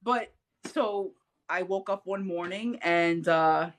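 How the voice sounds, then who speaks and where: A woman in a relaxed interview tells her story with real animation. She slips into acted-out quotes and laughs often, as though chatting with a friend. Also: on the low side, takes it slowly, a little hiss or hum. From Puerto Rican heritage. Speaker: podcast guest. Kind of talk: casual interview chat.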